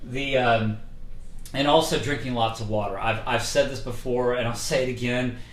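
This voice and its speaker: A man teaching singing and breathing in a relaxed, conversational way, with many fillers, streamed live from indoors. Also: medium pitch, normal speed, roomy sound, a little hiss or hum. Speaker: vocal coach. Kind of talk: casual instructional talk. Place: indoors, livestream setup.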